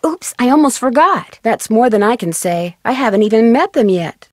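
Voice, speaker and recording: A young American woman playing a curious, polite amateur detective in an adventure game, friendly and inquisitive with clear enunciation. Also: on the high side, expressive, normal speed, a little mellow, dry recording, clean studio sound. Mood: friendly, upbeat. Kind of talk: scripted adventure game dialogue.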